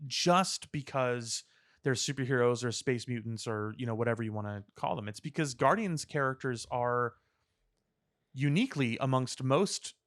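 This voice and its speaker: A man co-hosting a podcast, talking earnestly and articulately in casual discussion, with elaborate words and deliberate pauses. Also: medium pitch, fairly bright tone. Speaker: podcast co-host. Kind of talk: opinionated casual pop-culture discussion.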